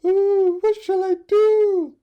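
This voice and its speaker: An anxious storybook mother bird acted out by a man speaks in a very high falsetto. She is protective and fretful, with urgency in her delivery. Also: level tone, slow pace, muffled recording. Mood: distressed.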